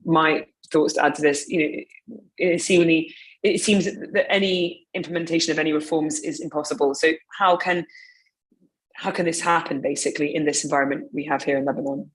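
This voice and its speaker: A woman with a fairly low voice moderates a panel discussion. She talks in a chatty, apologetic way and fills her pauses with soft ums. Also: fairly even intonation, low-quality recording.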